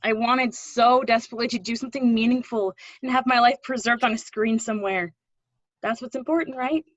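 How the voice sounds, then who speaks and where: A woman's voice: a little high, a little fast, dry recording, lightly acted. A woman acting an earnest, pushy drama teacher with faded dreams, encouraging and wistful in a play readthrough. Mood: wistful, impassioned.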